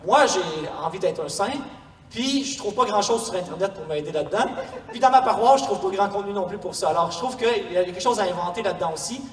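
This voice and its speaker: A man's voice: high-pitched, lively intonation, fast talker. A man with a Quebec French accent giving an informal, explanatory workshop presentation in a conversational way. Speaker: parish pastoral coordinator, workshop speaker. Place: large echoey room before an audience. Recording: distant mic, echoey hall, faint noise.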